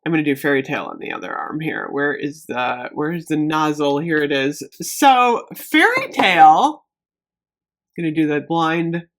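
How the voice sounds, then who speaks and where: A woman's voice: low voice, very animated, normal speed. Chatty woman reviewing products casually. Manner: chatty, emphatic, thinking aloud. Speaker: beauty product review vlogger.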